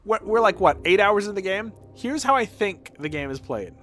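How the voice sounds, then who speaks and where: A man's voice: high voice, sing-song, moderate pace, fairly bright tone. A man streaming a video game, thinking aloud in casual, sweary, bewildered commentary. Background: music.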